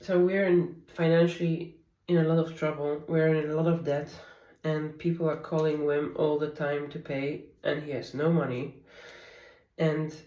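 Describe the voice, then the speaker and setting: A woman in her thirties whose first language is Polish, speaking in a soft, candid, confiding way in a personal diary vlog at home. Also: low voice, level tone, normal speed, echoey room, far from the mic, muffled recording. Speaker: vlogger. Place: home, at night.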